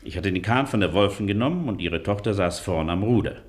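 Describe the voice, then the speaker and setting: An actor in a German radio play, playing an earnest, formal doctor who is stubborn and easily offended. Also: male voice, deep voice, lively intonation, fairly quick. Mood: earnest, deliberate. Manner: formal, hesitant, circumstantial.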